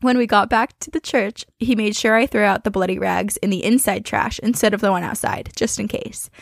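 A woman co-hosting a podcast, chatting casually and reading listener emails aloud in an expressive, conversational way. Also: mid-range voice, a little fast, vocal fry, no room sound, clean studio sound. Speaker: podcast co-host.

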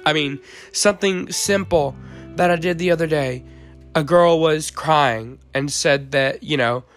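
A teenage boy, hoarse from the flu, hosting a faith podcast in an earnest tone with frequent ums and uhs. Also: a little high, a little slow, soft background music. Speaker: podcast host.

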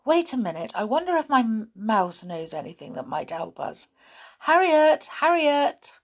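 A woman's voice: medium pitch, very animated, moderate pace, husky. Woman voicing a kindly ancient elf lady. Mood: eager. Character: kindly old female elf, three centuries old.

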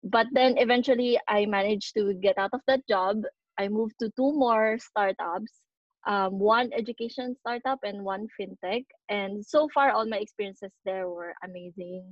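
A woman's voice: mid-range voice, moderate pace, no echo, highs cut off. A Filipino woman in her thirties co-hosting a podcast, chatting casually and telling stories with frequent ums and laughs. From Philippines. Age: 30s. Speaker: podcast co-host. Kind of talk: casual chat mixing English and Tagalog.

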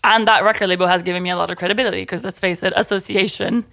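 A young Italian woman with an American accent talks freely as a podcast guest, her voice dipping into a gravelly fry. She rambles in a quick, friendly flow and laughs at her own word slips. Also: lowish voice, steady tone, a bit bright. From Italy. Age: 20s. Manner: chatty and fast-flowing, laughs at herself. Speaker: podcast guest, music collective organizer. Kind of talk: casual podcast interview.

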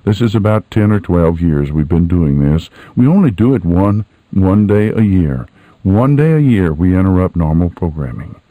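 An older man hosting radio in a casual, unscripted way, folksy and rambling with hesitant pauses. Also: low voice, expressive, no echo, narrow, tinny audio. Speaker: radio host.

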